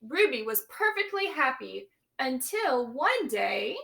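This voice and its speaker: A woman's voice: high voice, sing-song, takes it slowly, vocal fry, fairly bright tone. A woman teacher reading a picture book aloud to young children, stopping to ask them questions and explain words.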